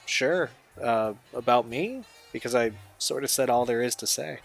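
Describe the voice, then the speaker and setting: A man's voice: mid-range voice, moderate pace, gravelly, faint music behind. A man playing an easygoing, supportive young friend in an audio drama, speaking casually. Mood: friendly, casual. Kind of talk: audio drama dialogue, naturalistic amateur acting.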